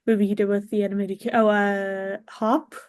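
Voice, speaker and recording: A woman talking as a podcast guest in a chatty, expressive, casual way. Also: medium pitch, moderate pace, no room sound, studio quality.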